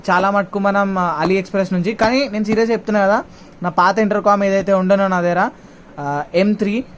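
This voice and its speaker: A man with a distinctly high voice and a Telangana accent talks in a casual vlogging style. He speaks informally, slipping English words into his sentences. A faint layer of noise sits behind his voice. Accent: Telangana Telugu. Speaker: motovlogger. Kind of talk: casual unboxing vlog chat.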